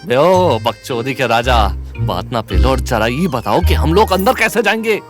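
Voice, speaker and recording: A man's voice: mid-range voice, big pitch swings, normal speed, faint music behind, lightly acted. A man playing a brash, boastful young college sidekick with an eastern Uttar Pradesh accent in a comic audio drama. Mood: bantering.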